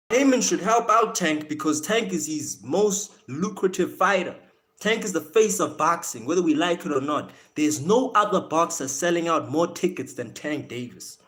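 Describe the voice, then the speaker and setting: South African man with American-tinged accent, higher-pitched. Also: fairly bright tone. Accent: South African with American influence. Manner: slangy, repeats words and phrases. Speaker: live stream host. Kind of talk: casual boxing talk, chatting to viewers.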